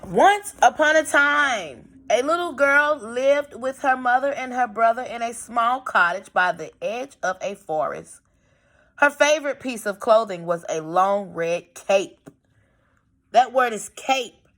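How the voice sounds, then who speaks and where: A woman's voice: on the high side, expressive, measured pace, a bit bright. A woman teaching young children through a read-aloud, explaining and prompting with questions in an instructive way.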